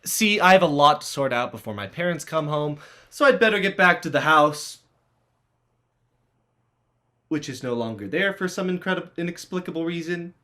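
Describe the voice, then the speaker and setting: A man's voice: fairly high pitch, lively intonation, fairly quick, few pauses, pushed and bright. A man playing an awkward, dryly polite teenage boy in a play reading, hesitant and wry. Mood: uneasy. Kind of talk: dramatized livestream play reading.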